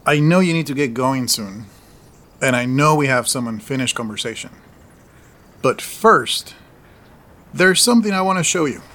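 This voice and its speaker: Proud farm patriarch, middle-aged, mid-pitched, playful, expansive, audio drama. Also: male voice, animated, normal speed, pauses often, bright, forceful tone. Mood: warm welcoming. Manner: teasing, expansive, fond. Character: Salvador, proud, playful older farm owner and father.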